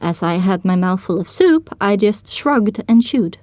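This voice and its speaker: A woman's voice: mid-range voice, expressive, a little slow, a little mellow, no echo. A woman reading first-person dramatic story narration for an audio drama.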